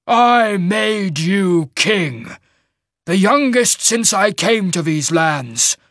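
A man voicing a wrathful, imperious shaman mage lord in a fantasy audiobook, with booming, commanding pronouncements. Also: expressive, drawn-out words, fairly bright tone, dry recording, studio quality, heavily acted. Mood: thunderous fury. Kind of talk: dramatic fantasy audiobook character.

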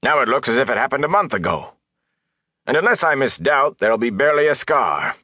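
Man acting a stern, steady village mayor. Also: breathy edge, dry recording, clean studio sound, heavily acted. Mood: stern reproach. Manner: rumbling, measured reproach.

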